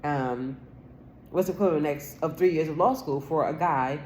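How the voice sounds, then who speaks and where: A woman's voice: low-pitched, normal speed, a little mellow, slight background noise. A woman in her forties talking straight to camera in a rambling vlog, aggrieved and insistent, swearing casually and restarting her sentences often. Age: 40s. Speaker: vlogger. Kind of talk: rambling solo vlog monologue.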